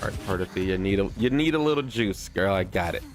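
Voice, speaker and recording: Low-pitched man chatting over gameplay. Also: expressive, fast. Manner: chatty, playful slang, self-deprecating. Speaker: game streamer. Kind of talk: casual gameplay commentary. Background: music.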